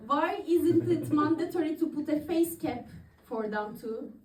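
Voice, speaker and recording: A woman's voice: high-pitched, expressive, a little slow, a little mellow, recorded from a distance. A woman in her thirties from Turkey doing open-mic stand-up comedy, chatty and laughing at her own jokes. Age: 30s. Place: comedy club stage, audience in the room. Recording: echoey room recorded from afar.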